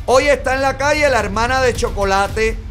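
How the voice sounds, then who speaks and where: A man's voice: extremely high pitch, normal speed, music in the background. A middle-aged Cuban man with a Cuban Spanish accent, hosting a gossip commentary show in a studio, emphatic and sarcastic. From Cuba. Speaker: host. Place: bright studio, seated in a red chair.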